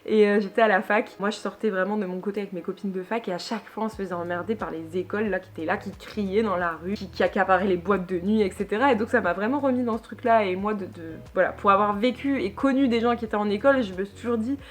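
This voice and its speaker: A woman's voice: mid-range voice, fast, hardly any pauses. Chatty young woman reviewing a book. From Lille, northern France. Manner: chatty, many fillers and restarts. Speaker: book vlogger. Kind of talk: casual book review monologue.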